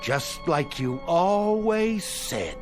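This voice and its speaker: Animated, sly, streetwise crook. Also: male voice, a little high, very slow, exaggerated voice. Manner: colloquial tough-guy talk, needling, drawling taunts. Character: streetwise male crook, sly, mocking, greedy and cocky. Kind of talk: radio drama acting. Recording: music under the voice.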